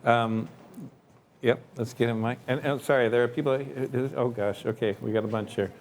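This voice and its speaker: A man moderating audience questions at a talk, brisk and informal. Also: medium pitch, steady tone, a little hiss or hum.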